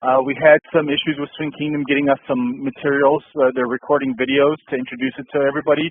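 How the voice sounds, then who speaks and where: A man's voice: medium pitch, flat delivery, fast, husky, no echo. Man on a tinny phone line. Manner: casual, many ums and uhs, repeats points. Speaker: host of a dealer update call. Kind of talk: business update call.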